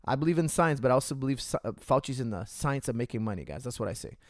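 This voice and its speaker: A man's voice: medium pitch, animated, fast, no room sound, studio quality. A man hosting a casual podcast, ranting heatedly to viewers, repeating himself and calling them guys. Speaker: podcast host. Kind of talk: casual opinion rant to viewers. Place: Canada.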